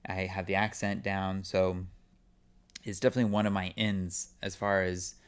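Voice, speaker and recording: A young man from California chats in a relaxed, meandering way, full of "um" and "like". His voice is smooth and clear, sitting a little low. He is a guest actor on an interview podcast. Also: moderate pace. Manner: relaxed, rambling with many fillers. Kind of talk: casual interview chat.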